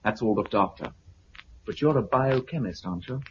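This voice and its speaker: Confident British survivor-colony recruiter played by a male actor, animated delivery, muffled, hissy 1950s radio sound. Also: lowish voice, normal speed, natural voice. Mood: persuasive.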